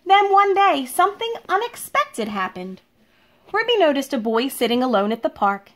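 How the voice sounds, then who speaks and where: A woman teacher speaking to young children in an expressive, deliberate read-aloud style, recorded at home in a living room. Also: high-pitched. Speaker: elementary school teacher reading a picture book aloud.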